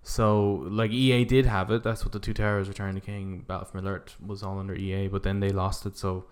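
A man's voice: low voice, little pitch movement, a little fast. A man co-hosting a podcast, talking informally about video games and often saying "like". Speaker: podcast co-host.

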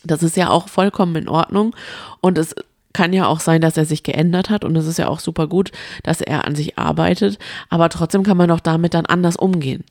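A woman's voice: deep voice, steady tone, fairly quick, darkish tone, no echo, clean studio sound. A woman co-hosting a casual, gossipy chat about reality TV, telling stories with strong opinions. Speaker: podcast co-host.

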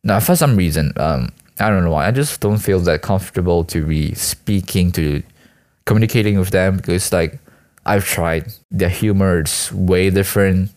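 A man's voice: low voice, moderate pace, a little mellow. A young man from Malaysia, a student, rambling casually in a hesitant, self-deprecating, slangy way. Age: teens to young adult, school student. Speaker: student vlogger and aspiring actor.

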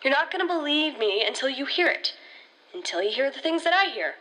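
A woman's voice: high voice, very animated, a little fast, bright, forceful tone, exaggerated voice, low-quality recording. A woman playing an anxious, defensive teenage rookie ranger in an audio drama, insistent and sarcastic. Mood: insistent, pleading.

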